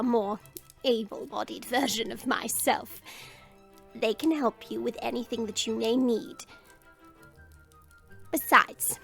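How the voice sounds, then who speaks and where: A woman acting a wise, composed old grandmother in a fantasy audio drama, speaking formally and with gentle firmness. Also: a little high, creaky. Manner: measured, formal, gently firm.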